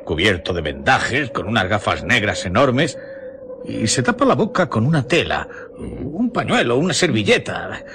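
A suspicious, gossipy village clockmaker with a Castilian accent in a radio play. His low voice swings up and down constantly as he insinuates and repeats himself for emphasis. There is clear background ambience behind him. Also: big pitch swings, normal speed, noisy background. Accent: Spain. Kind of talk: radio drama acting.

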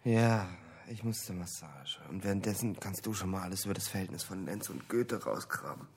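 A man's voice: deep voice, expressive, brisk, slightly rough, exaggerated voice. An actor playing a businesslike male investigator boss in a radio drama, giving matter-of-fact instructions. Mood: businesslike, strained.

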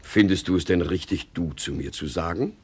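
Parish provost, deep voice, husky, dignified, courteous, measured, radio play. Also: male voice. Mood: puzzled, mildly reproving. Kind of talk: German radio play acting.

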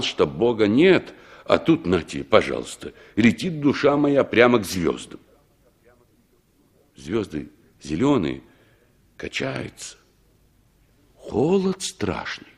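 An actor playing a middle-aged former war commander who recalls his survival with unhurried, expressive pauses, in a 1960s radio drama style. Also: on the low side, vocal fry, slightly rough, full character voice. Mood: haunted, reflective.

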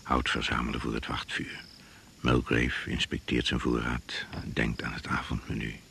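An actor narrating a suspense radio play in terse, measured present-tense phrases. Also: male voice, a bass voice, completely flat delivery, gravelly, pushed and bright, a little hiss or hum, heavily acted. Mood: hushed suspense. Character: brooding male narrator of a jungle thriller.

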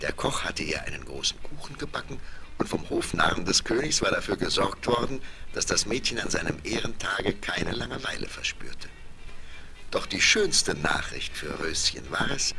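A man narrating a scripted fairy tale with expressive, deliberate storytelling, on an old 1970s vinyl record. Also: low voice, gravelly, noisy background.

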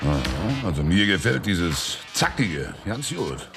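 An actor playing a skeptical, wary company boss with a gravelly voice in a naturalistic radio drama. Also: male voice, low voice, very animated, a little slow, over music, heavily acted. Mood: amused, approving.

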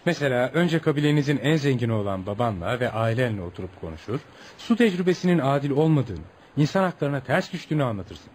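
An actor playing a curious, kindly foreign gentleman writer who gives patient, reassuring advice in a Turkish radio play. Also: medium pitch, sing-song, fast talker, lightly acted. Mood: kindly, encouraging. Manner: avuncular, inquisitive, reassuring. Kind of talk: Turkish radio theatre acting. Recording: background noise and ambience.

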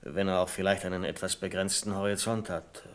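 Man acting a restrained, lowish-voiced bank deputy. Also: flat delivery, a little fast, lightly acted. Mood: hesitant, critical. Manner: polite, restrained, quietly stubborn. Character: deferential but stubborn male bank deputy. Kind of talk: 1970s German radio play acting.

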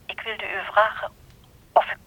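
An actress playing a reserved, discreet stranger on the telephone in a radio drama, speaking carefully and haltingly. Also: female voice, natural voice. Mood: guarded, polite. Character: reserved, discreet woman stranger phoning for a meeting. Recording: dull, slightly noisy telephone-scene recording.

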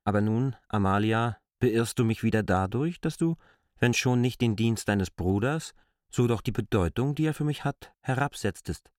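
An actor playing an earnest, brooding stranger in a radio play, speaking in a deliberate, formal, argumentative way. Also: male voice, mid-range voice, lively intonation, a little mellow, lightly acted. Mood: measured, probing. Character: earnest adult male stranger, insistent and brooding. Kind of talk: literary radio play dialogue.

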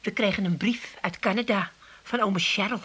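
Sardonic housewife in radio comedy: raspy, forceful voice, dry delivery. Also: female voice, mid-range voice, normal speed. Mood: suspicious. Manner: dry, sarcastic. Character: sharp-tongued, unimpressed Amsterdam housewife. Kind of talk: 1980s comedy radio play acting.

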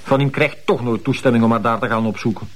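A man's voice: medium pitch, fairly even intonation, fast talker, lightly acted. An actor playing a stern, irritable, domineering man with a Flemish accent and a curt, commanding manner. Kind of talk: naturalistic television crime drama acting.

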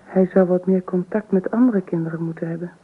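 A woman's voice: lowish voice, steady tone, brisk, slightly rough. An actress playing an earnest, worried young wife and mother in a naturalistic radio drama, speaking insistently. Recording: old radio play, phone-like sound, noisy.

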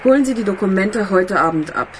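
A woman's voice: mid-range voice, lively intonation, brisk, a little hiss or hum, lightly acted. A woman playing a discreet, businesslike messenger in a radio drama, speaking in a guarded, composed way. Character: composed, discreet female go-between passing on messages. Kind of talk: radio drama phone dialogue.

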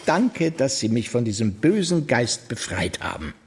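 An actor playing a police inspector in a radio drama, questioning politely and tersely. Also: male voice, medium pitch, sing-song, measured pace. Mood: conciliatory. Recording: faint background noise.

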